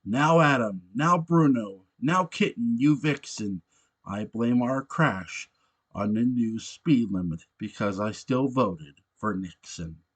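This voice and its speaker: A man's voice: medium pitch, animated, slow pace, husky. A man voicing a fuming male villain in a storybook verse, barking commands at his henchmen. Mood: fuming, commanding. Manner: shouting, commanding.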